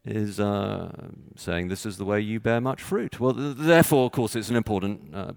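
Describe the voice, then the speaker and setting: A man from Texas with a Texan accent, preaching a sermon with measured, halting delivery and frequent pauses. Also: lowish voice, gravelly, darkish tone, dry recording, clean studio sound. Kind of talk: sermon with scripture reading.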